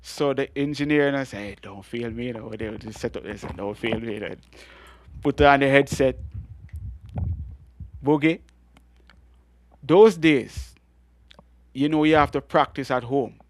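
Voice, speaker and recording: Deep-voiced Jamaican man telling stories. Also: a little slow, long pauses. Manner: animated storytelling with frequent laughs and restarts. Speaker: guest reggae artist. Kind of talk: storytelling interview.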